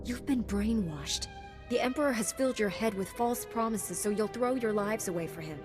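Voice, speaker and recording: A woman playing a resolute sci-fi knight heroine in a game. She speaks in a medium pitch, firm and composed, with music underneath. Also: moderate pace, natural voice. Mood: determined. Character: resolute, compassionate female Jedi knight hero. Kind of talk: scripted video game acting. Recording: music behind the voice.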